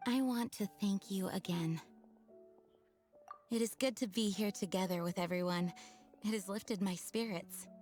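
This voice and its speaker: A woman playing an earnest, gentle young knight commander who speaks formally in an anime game. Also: medium pitch, a little slow, long pauses, natural voice. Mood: reflective, grateful. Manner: formal, sincere. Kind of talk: anime game dub acting. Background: soft music.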